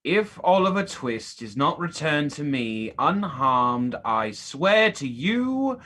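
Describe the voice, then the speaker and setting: Man acting vengeful haunted general, stage drama, grave, slow and deliberate, forceful bright voice. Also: a little high, very animated, heavily acted. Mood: grim, menacing. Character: stern, vengeful military general haunted by a lost child. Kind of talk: dramatic stage play reading.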